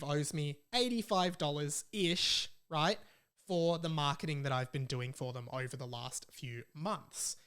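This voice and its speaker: An Australian man chatting casually as a solo podcast host, telling stories in an animated, playful, mock-dramatic way. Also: sits high.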